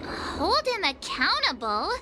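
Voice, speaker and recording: A woman's voice: very high voice, wildly swooping pitch, slow, background noise, full character voice. A woman playing a perky, outspoken little girl in a video game, questioning everything over music. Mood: skeptical. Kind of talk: scripted video game voice acting. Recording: loud game music under voice.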